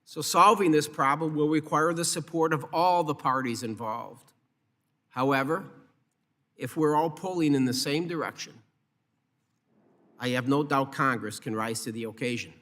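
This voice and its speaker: A man speaking as a committee chairman, reading a formal, measured opening statement at a government hearing. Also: a little high, a bit bright. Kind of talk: formal prepared political statement. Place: congressional hearing room.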